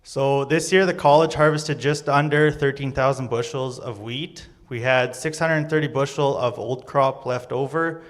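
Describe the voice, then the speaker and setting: A man's voice: medium pitch, steady tone, moderate pace, few pauses, big-room sound. A young man from Saskatchewan giving a class presentation on crop yields, reading figures in a plain, matter-of-fact way. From Saskatchewan, Canada. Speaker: agriculture student presenter. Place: college auditorium stage.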